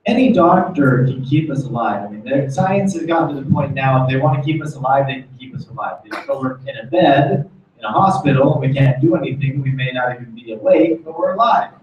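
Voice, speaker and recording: A man's voice: mid-range voice, lively intonation, a little fast. A man with an American accent giving an informal motivational talk as a corporate guest speaker, repeating phrases for emphasis. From United States. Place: meeting room, speaking before small audience. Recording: very echoey room, distant muffled mic.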